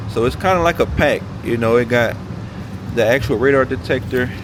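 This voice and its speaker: A man's voice: medium pitch, noisy background. A man chatting casually to viewers as a vlogger, in a laid-back, slangy way with short repeated phrases. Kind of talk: casual car vlog chat.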